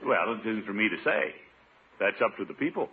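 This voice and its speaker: Brief: pompous middle-aged blowhard official, very animated delivery, theatrical 1950s radio comedy, muffled noisy old recording. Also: male voice, lowish voice, a little fast. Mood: self-important. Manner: blustery, self-satisfied, theatrical, chuckling. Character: pompous, self-important middle-aged uncle and small-town official.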